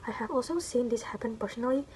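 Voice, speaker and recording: A woman's voice: fairly high pitch, quick pace, a little mellow, slight background noise. A young woman from Indonesia with a Sundanese accent, a university student reading her written assignment aloud with small stumbles and self-corrections. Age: young adult.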